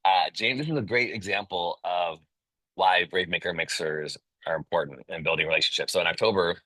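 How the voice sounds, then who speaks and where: Upbeat male host, deep bright voice. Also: brisk, no room sound, low-quality recording. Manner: upbeat and promotional, slangy. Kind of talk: casual online interview.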